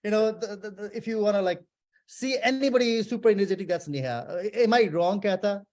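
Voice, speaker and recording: A male host from Nepal speaks in a high, light voice. He runs a casual interview with playful, teasing questions. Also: a little fast, darkish tone.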